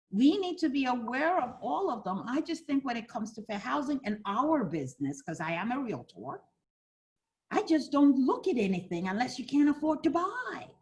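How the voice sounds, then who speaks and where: Animated woman teaching a class. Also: a little high. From Ghana. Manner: animated, role-plays buyer and agent. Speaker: fair housing instructor. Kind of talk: interactive training class.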